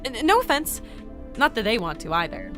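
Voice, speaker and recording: A woman's voice: on the high side, faint music behind. A woman playing an earnest, anxious young entity in an audio drama, talkative and flustered. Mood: awkward. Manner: flustered, expressive, halting.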